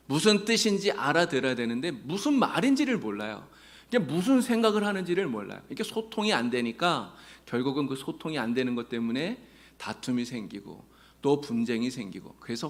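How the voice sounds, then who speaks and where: A male pastor preaching a sermon in a church, earnestly addressing his congregation and mixing in folksy jokes. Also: mid-range voice. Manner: tells folksy jokes between teaching. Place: church sanctuary.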